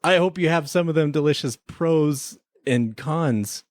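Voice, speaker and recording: A man's voice: fairly high pitch, measured pace, no room sound, clean studio sound. A man hosting a podcast that mixes casual chat with comic radio drama.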